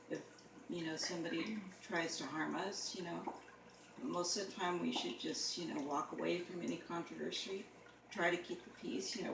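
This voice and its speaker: A woman with a low, gravelly voice talks casually and haltingly, filling gaps with "you know". She sounds far from the mic in an echoey room, with clear background noise. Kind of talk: casual conversation.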